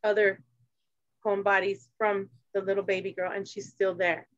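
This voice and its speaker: A woman from Arizona talks in a halting, personal way, restarting her sentences and jumping into lively quoted voices. Her voice sounds dull and lo-fi, with no room around it. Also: mid-range voice, dry recording. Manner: halting, restarts, animated when quoting others. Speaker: guest. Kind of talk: casual personal conversation.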